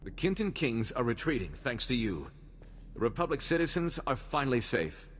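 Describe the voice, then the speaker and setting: An actor playing an earnest, principled male diplomat with a measured, formal air in a video game cutscene. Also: mid-range voice, sing-song, long reverb tail, slight background noise, close to an everyday voice. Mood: troubled, grateful.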